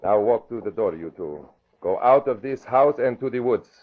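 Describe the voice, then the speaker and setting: A man's voice: medium pitch, expressive, close to an everyday voice. Guy doing menacing old radio spy boss, formal wording, gloating, dull vintage sound. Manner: formal, deliberate phrasing without contractions. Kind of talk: 1940s radio drama acting. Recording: muffled vintage radio recording.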